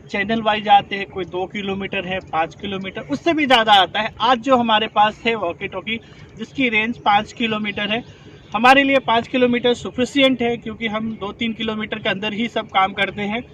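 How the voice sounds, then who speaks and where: A man's voice: high voice, moderate pace, fairly bright tone, muffled recording, slight background noise. A man, a rescue trainer, explaining a device step by step and asking his class to confirm. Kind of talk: instructional demonstration.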